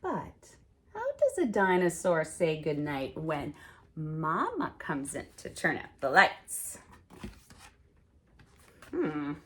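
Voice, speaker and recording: A middle-aged woman hosting a storytime for small children, reading aloud in an expressive, exaggerated way with dramatic pauses. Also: low voice, slight background noise. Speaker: host of a children's sign language storytime.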